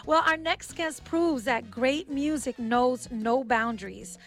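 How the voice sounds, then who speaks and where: A woman's voice: sits high, slow pace, fairly bright tone, faint music behind. A woman co-hosting a radio show, reading a polished guest introduction and asking questions. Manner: upbeat, scripted-sounding introductions. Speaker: radio co-host.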